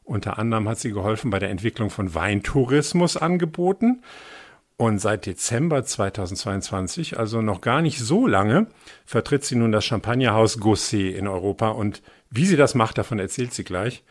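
A man's voice: on the low side, lively intonation, moderate pace. German man hosting a wine podcast. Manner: friendly, curious, knowledgeable. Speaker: podcast host. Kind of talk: podcast interview, relaxed chat.

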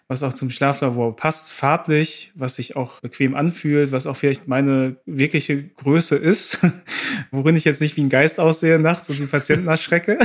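A man giving an informal, chatty customer testimonial. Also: medium pitch. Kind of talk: casual spoken testimonial.

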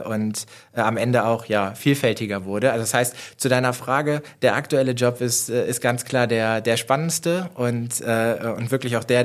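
Brief: male guest, 40s, German accent, measured and reflective, pauses with "ähm", mid pitch. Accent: German (northern German). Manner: thoughtful, many fillers and restarts. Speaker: managing director, guest. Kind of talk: conversational interview answers.

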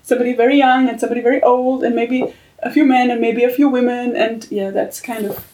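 A woman's voice: a little high, fairly even intonation, fast talker, darkish tone. A woman writer speaking earnestly and reflectively in a casual podcast discussion. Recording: far from the mic.

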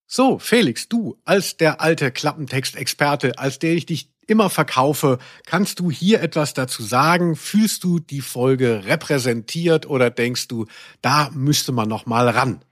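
A man's voice: mid-range voice, lively intonation, measured pace. A man co-hosting a podcast, chatting casually in a wordy, tongue-in-cheek way. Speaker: podcast co-host. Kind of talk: casual, chatty media discussion.

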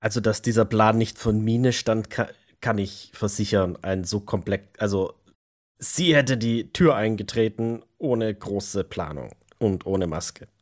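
A man playing an embittered, agitated vampire in an audio drama, emotional and sometimes halting. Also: lowish voice. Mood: grim. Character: embittered, agitated male vampire hunting anarchs. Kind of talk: German audio drama role-play acting.

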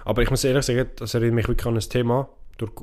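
A man's voice: mid-range voice, level tone, racing, a little mellow. A young man with a Swiss accent chatting casually as a podcast co-host, in an opinionated and emphatic way. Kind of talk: casual chat.

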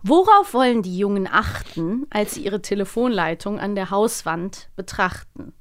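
Chatty woman co-hosting, mid pitch. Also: normal speed. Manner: lively, reacting with short interjections and asking questions. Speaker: podcast co-host. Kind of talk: casual chat.

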